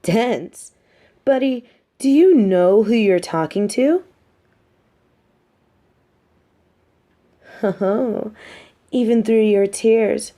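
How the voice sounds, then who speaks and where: A woman's voice: mid-range voice, dark tone. A woman playing a smug, mean but sympathetic supervillain captor in an audio roleplay, talking in a taunting, theatrical, conversational way. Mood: condescending, menacing. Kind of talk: roleplay audio drama monologue.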